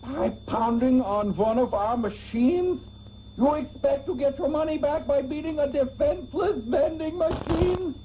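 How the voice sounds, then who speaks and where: A man's voice: very high voice, a little slow. A man playing a mock-sinister, taunting interrogator in a comedic radio serial, theatrical and menacing. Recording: dull, faintly noisy radio tape.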